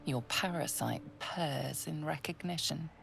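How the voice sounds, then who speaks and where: A low, gravelly female narrator for a fantasy game, unhurried and ominous. Her hushed, deliberate delivery sits over clear game music. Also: heavily acted. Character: calm omniscient female narrator. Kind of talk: scripted second-person narration.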